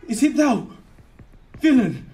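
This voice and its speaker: A man playing a lecherous old duke in a Jacobean verse audio drama, speaking with slow, theatrical relish. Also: very high-pitched, big pitch swings, warm tone, soft background music, exaggerated voice. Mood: agonised, horrified.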